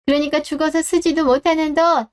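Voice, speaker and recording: Woman as brazen daughter-in-law, high-pitched, sarcastic, confrontational, scornful, dramatic story delivery. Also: little pitch movement, a little fast, lightly acted. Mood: scornful, irritated. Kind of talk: scripted dramatic story narration.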